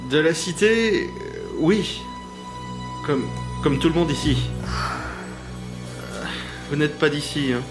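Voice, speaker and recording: A man playing a calm, courteous hospital doctor in an audio drama, measured and a little hesitant. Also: on the high side. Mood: matter-of-fact. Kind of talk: French audio drama acting. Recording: roomy echo, music behind.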